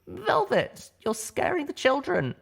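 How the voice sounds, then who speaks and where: Man acting a young pony mare, much higher pitch, soft, guilty, pleading, storybook reading. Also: wildly swooping pitch, unhurried, creaky, exaggerated voice.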